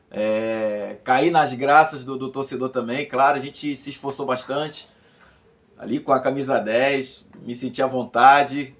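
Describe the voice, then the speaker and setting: A man in his fifties from Bahia, a former footballer calmly recounting his old club season, with many fillers and repeated words. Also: mid-range voice. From Brazil, Bahia. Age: 50s. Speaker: former footballer giving a testimony. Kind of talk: recorded personal testimony.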